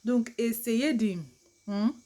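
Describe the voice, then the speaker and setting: A woman's voice: fairly high pitch, slow pace, dark tone. A woman vlogger, a native English speaker, giving casual advice in broken French with an English-speaking accent, chatty and laughing easily. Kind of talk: casual advice vlog to camera.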